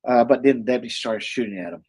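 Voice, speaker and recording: A man with a husky voice, co-hosting a casual chat about movies, rambling with lots of fillers and restarts. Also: medium pitch, very fast, darkish tone. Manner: rambling, many fillers and restarts, chuckles often. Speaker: co-host.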